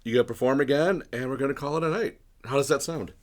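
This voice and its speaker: A man hosting a podcast interview in casual chat, a little hoarse from bronchitis, rambling and often clearing his throat. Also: medium pitch, fast. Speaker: podcast host.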